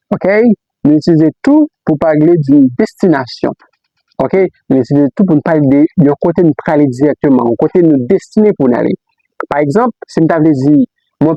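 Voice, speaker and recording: A man teaching a beginner language lesson, repeating example sentences slowly and checking in with "ok?" and "let's go, guys". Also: on the high side, no room sound. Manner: repeats example sentences slowly, switches between Creole, French and English; frequent "ok?" and "let's go, guys" prompts. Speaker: English teacher. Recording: dull lo-fi dry recording.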